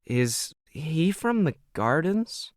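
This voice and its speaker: A man voicing a worried mother in an audio story, gently coaxing and concerned. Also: fairly high pitch, very animated, slow pace, no room sound, clean studio sound, voice pushed up. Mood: apprehensive. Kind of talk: audio fiction character dialogue.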